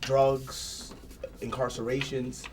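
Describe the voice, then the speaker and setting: A man's voice: medium pitch, flat delivery, slow, slight background noise. Earnest young man. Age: 20s. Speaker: council candidate guest. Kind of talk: political discussion.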